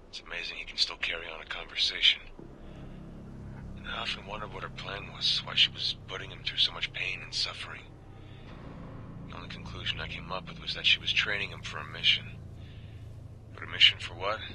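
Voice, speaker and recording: An actor playing a weary, cynical government agent turned secret informant, confiding in a plain-spoken, brooding way in a video game audio log. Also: male voice, low-pitched, steady tone, fairly quick, pauses often, background noise, close to an everyday voice. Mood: troubled.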